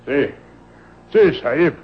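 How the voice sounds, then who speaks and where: Halting, very slow-spoken servile slave. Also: male voice, a little high, lively intonation. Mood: urgent, submissive. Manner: broken speech in bare infinitives, halting. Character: servile Ethiopian slave man, humble and devoted. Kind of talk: old-time radio serial acting. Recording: muffled old radio drama recording.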